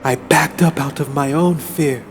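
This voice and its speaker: A man giving a solemn, deliberate, suspenseful dramatic reading of a first-person horror story. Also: on the high side, steady tone. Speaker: narrator. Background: background music.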